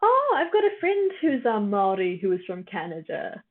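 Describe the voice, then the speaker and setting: A young woman from New Zealand chats to her stream audience, tired-voiced and loose, with plenty of fillers between thoughts. Her voice sits at an ordinary pitch for a woman. The sound is narrow and thin, like a phone line. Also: wildly swooping pitch, normal speed. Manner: chatty, laughs often, many fillers, answers chat as she goes. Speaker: livestream host. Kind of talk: casual chat with a live chat, summarizing a reading.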